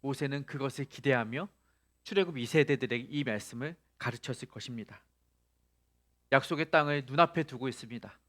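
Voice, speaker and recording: A man's voice: medium pitch, fairly quick, fairly bright tone. A male pastor preaching a sermon, asking the congregation rhetorical questions and rising to emphatic peaks.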